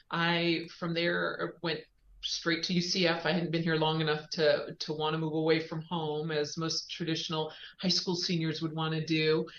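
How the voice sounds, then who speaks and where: A woman's voice: sits low, fairly bright tone. Middle-aged woman in a roomy, dull recording. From Spain, on US military bases. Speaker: guest, economic development executive. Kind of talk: interview answer, career narrative. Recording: roomy, slightly dull sound.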